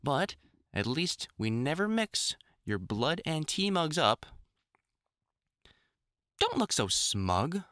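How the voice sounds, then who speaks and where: A man playing an older, experienced vampire hunter in an intimate audio roleplay, talking to the listener in a halting, wry, earnest way. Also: a little high, sing-song, pushed and bright, dry recording, clean studio sound, heavily acted. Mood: wry, teasing. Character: gruff, caring older experienced male vampire hunter, mentoring.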